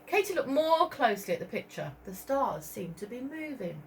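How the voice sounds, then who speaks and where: A woman reading a story aloud to young children as a teacher, expressively, pausing to point out pictures and ask questions. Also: a little high, big pitch swings, slight background noise. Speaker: primary school teacher.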